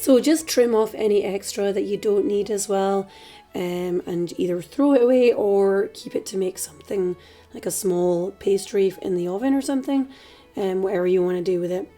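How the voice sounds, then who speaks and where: Woman, Scottish accent, slightly hoarse, relaxed chatty cooking host, faint background music. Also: medium pitch, moderate pace. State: slightly hoarse, dry throat. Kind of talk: casual cooking tutorial voice-over.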